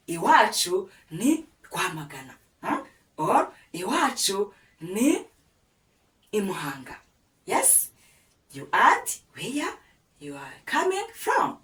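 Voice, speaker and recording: A woman's voice: mid-range voice, wildly swooping pitch, slow, a bit bright. A woman with a Rwandan accent teaching a language lesson, coaxing listeners to repeat with many prompts. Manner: patient, coaxing, many "yes?" and "aha" prompts. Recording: echoey room, voice a bit far from the mic.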